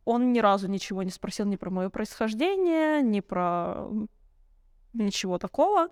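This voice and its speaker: A woman's voice: medium pitch, lively intonation, moderate pace, darkish tone, clear, resonant tone, no echo, clean studio sound. A young woman telling personal stories in a candid, conversational way, reflective and searching for words as a podcast guest. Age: young adult.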